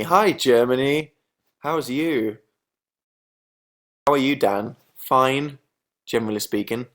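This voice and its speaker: A young Englishman hosts a relaxed live chat, answering viewers one by one. His humour is dry and sarcastic, often turned on himself. His voice sits at an ordinary middle pitch. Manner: sarcastic, deadpan self-mocking jokes. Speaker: livestream host. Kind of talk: casual live chat reading viewer comments.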